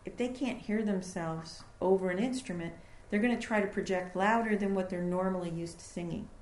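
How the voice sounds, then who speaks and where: A woman recording engineer talking casually in an interview about her studio, explaining with fillers and pauses. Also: mid-range voice, darkish tone. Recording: echoey room.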